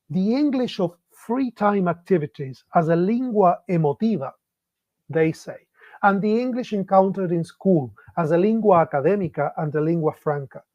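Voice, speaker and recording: A man's voice: high-pitched, no room sound, highs cut off. A middle-aged man from Argentina, a Spanish speaker, giving an online talk to English teachers in a reflective way, posing many rhetorical questions.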